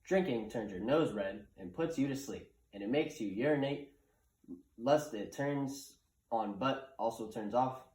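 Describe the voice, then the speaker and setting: A bawdy, hungover gatekeeper played by a man holds forth in a puppet play. He rambles with mock wisdom at a middling pitch, in an echoey room. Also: unhurried, a little mellow, lightly acted. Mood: jokey, bawdy. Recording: roomy, echoing room sound.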